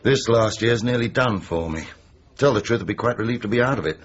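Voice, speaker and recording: A man's voice: mid-range voice, expressive, brisk, dull audio, close to an everyday voice. A middle-aged man playing a world-weary, sardonic comedy writer in a naturalistic radio drama, teasing and jaded. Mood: bitter, resigned.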